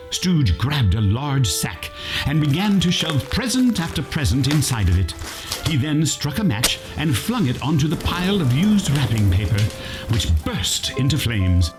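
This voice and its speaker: A man's voice: a little high, sing-song, normal speed, music in the background. A man playing the storyteller of a parody Christmas radio play, narrating in a mock-dramatic storybook style. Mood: mock-solemn.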